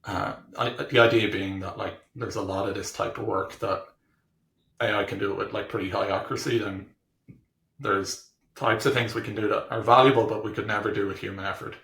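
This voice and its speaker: A man answers interview questions in a low voice with a strong gravelly creak. He explains casually and haltingly, restarting and thinking aloud. The room around him rings with noticeable echo. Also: fairly even intonation, fairly bright tone. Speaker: technology executive being interviewed. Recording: roomy, echoing room sound.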